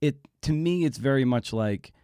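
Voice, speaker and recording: A man hosting a podcast interview in a casual way, haltingly repeating words as he builds his point. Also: medium pitch, warm tone, clean tone, no echo, studio quality. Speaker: podcast host interviewing an author.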